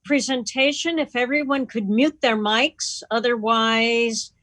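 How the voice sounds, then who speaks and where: A woman's voice: on the high side, fairly even intonation, measured pace, fairly bright tone, no echo. A woman organising an online meeting, making casual announcements over a video call.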